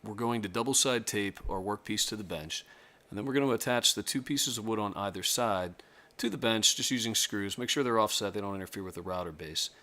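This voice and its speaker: A man hosting a casual woodworking tutorial in his workshop, relaxed and self-deprecating. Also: lowish voice, brisk, hardly any pauses. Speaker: woodworking host. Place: home woodshop.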